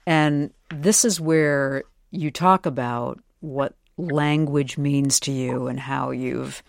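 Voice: medium pitch, steady tone, slow pace, vocal fry, no echo, studio quality. An interviewer speaking in a thoughtful, attentive, conversational radio style.

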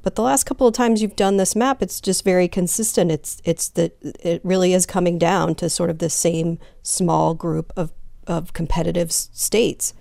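A woman's voice: lowish voice, fairly even intonation, normal speed, vocal fry, warm tone, no echo. A woman working as a congressional editor, giving thoughtful remarks on a political podcast and restarting sentences with you know.

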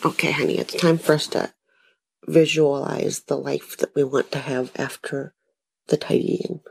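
A woman's voice: low-pitched, steady tone, normal speed, vocal fry, husky, rough recording. A woman in an informal conversation with her husband, earnest and coaxing. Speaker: wife recording a talk with her husband.

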